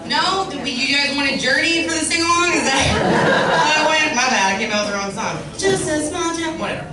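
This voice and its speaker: A woman's voice: high voice, a little fast. A young woman from California's Central Valley with a scratchy voice, doing a profane, sarcastic stand-up set. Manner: profane, sarcastic, lively storytelling. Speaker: stand-up comedian. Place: comedy club stage. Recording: echoey club, distant mic, room noise.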